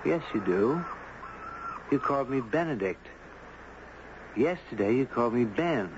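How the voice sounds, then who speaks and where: A man's voice: mid-range voice, lively intonation, unhurried, close to an everyday voice. Radio play lead played by a man: earnest, searching, entranced fellow; dull, very noisy vintage sound. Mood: insistent. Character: earnest, inquisitive man, entranced by a strange girl. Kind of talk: 1970s radio drama acting. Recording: muffled, noisy 1970s radio recording.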